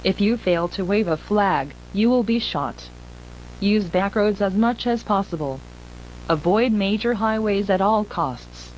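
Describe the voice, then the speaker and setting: A woman playing a stern emergency broadcast announcer in an analog horror video, reading grave warnings in a formal, impersonal, measured way. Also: medium pitch, darkish tone, slight background noise, close to an everyday voice, rough recording. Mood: urgent warning.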